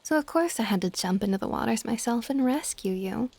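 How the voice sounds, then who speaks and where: Soft, mellow dragon goddess, acted by a woman. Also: a little high, lively intonation, a little fast, creaky. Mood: fond teasing. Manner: soft, intimate, partly whispered, affectionate. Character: ancient dragon goddess, gentle, doting, possessive older woman. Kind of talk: ASMR romantic audio roleplay.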